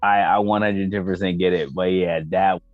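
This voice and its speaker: A man with a fairly deep voice chats casually and bluntly, swearing freely with emphatic slang. The sound is dry and a little dull, with music faintly underneath. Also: steady tone, normal speed, no room sound. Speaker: podcast co-host. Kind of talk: casual opinionated chat about video game movies.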